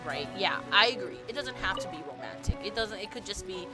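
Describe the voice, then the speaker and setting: A woman streamer rambling excitedly about a game story while she plays, full of restarts and fillers. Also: medium pitch, brisk. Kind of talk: rambling gameplay commentary. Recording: loud game music behind the voice.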